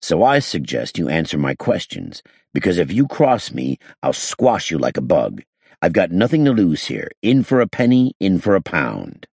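A man's voice: sits low, very animated, normal speed, creaky, darkish tone, breathy edge, no room sound, clean studio sound, heavily acted. A man voicing a stern, hard-boiled police inspector in an audiobook, commanding as he interrogates. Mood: threatening.

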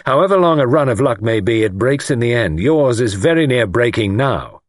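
A man's voice: medium pitch, sing-song, moderate pace, dry recording, clean studio sound, voice pushed up, lightly acted. A man voicing a blunt, defiant young man in an audiobook, firm, curt and challenging. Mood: defiant warning. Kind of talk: audiobook character acting.

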